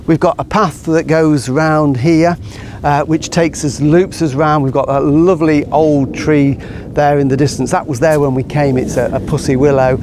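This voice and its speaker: A middle-aged man giving a relaxed, enthusiastic guided tour of his garden outdoors, explaining as he walks. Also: fairly high pitch, background noise. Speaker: gardener and show host.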